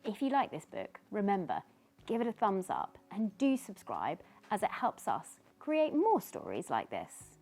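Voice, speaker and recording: A woman reading a children's story aloud in an expressive, dramatic, drawn-out way. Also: mid-range voice.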